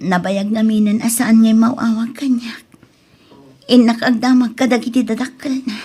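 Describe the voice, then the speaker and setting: An actress playing a soft-hearted young woman in love in an Ilocano radio drama, with an Ilocano accent, speaking emotionally and hesitantly in a breathy voice. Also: a little high, level tone, normal speed, close to an everyday voice. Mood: quiet, earnest.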